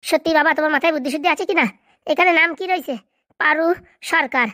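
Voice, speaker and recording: A woman voicing a sharp-tongued grown daughter in a comedy cartoon, sarcastic and nagging. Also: sits high, fast talker, no echo, clean studio sound. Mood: scolding, sarcastic.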